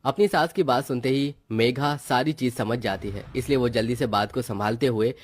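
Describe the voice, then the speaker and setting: A man's voice: mid-range voice, normal speed. A man narrating a scripted story as the storyteller.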